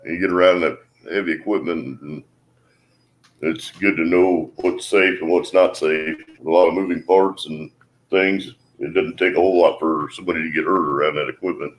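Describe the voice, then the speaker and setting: A man with a very low, deep voice talks casually as the host of a livestream. He gives calm, steady advice at an easy pace. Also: moderate pace.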